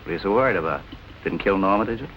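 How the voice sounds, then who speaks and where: An actor playing a cool, commanding nightclub boss with a clipped, sardonic manner in 1940s film noir style. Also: male voice, sits low, animated, racing, fairly bright tone. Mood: sardonic, needling. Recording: noisy old film soundtrack.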